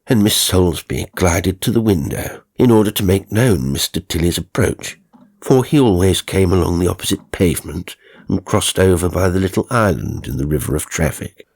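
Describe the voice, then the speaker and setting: Deep, gravelly male ghost story narrator. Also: lively intonation, darkish tone. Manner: theatrical, measured storytelling. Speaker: audiobook narrator.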